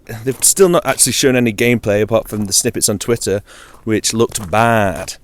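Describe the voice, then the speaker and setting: A man's voice: on the low side, normal speed, slight background noise. A man with a British accent chatting informally on his own about games, in a dry, wry, jokey manner. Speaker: video game vlogger.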